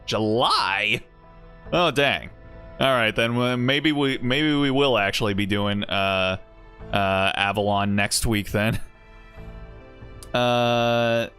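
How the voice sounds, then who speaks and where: A man's voice: fairly low pitch, sharp tone. Rambling male game streamer. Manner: rambling and self-interrupting, with frequent laughs. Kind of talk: casual game stream chat, reading game text aloud.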